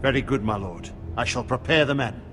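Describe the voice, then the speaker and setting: A man's voice: mid-range voice, expressive, brisk, fairly bright tone, long reverb tail, faint music behind, close to an everyday voice. A man playing a combative veteran soldier who speaks bluntly and scornfully. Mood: bitter. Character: gruff hot-headed male veteran soldier.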